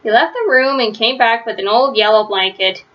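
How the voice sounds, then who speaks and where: A woman reading a children's storybook aloud as narrator, in an expressive style. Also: fairly high pitch, normal speed. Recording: slightly dull, lo-fi home recording.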